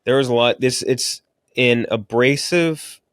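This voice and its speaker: A man's voice: medium pitch, a little slow, smooth, dry recording, studio quality. A man hosting a podcast, chatting casually and bluntly about films in an informal way. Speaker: podcast host reviewing movies. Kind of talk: casual movie-review chat.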